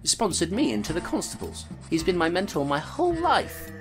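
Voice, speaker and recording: A tough, wry constable in an audio drama, her voice low but swinging widely. She talks bluntly and guards what she says. Music plays behind her. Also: big pitch swings, normal speed. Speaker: constable narrating her case. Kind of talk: audio drama narration and dialogue.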